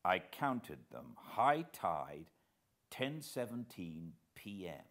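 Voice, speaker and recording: A British man in his fifties narrating a story in a measured, expressive, dramatic way. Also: sits low. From Britain. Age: 50s. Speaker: audiobook narrator. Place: living room beside a fireplace, indoors.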